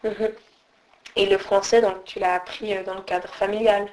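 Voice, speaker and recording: A woman asks short, prompting questions in an interview. Her pitch stays flat and even, at a middle height. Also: moderate pace, a little hiss or hum, low-quality recording. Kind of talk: oral history interview.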